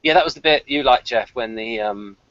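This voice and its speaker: A man's voice: medium pitch, brisk, a bit bright, dry recording. A British man co-hosting a casual review chat, speaking in a hesitant, rambling, dryly wry way and partly reading from notes. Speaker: podcast co-host. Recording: slightly dull, lo-fi voice recording.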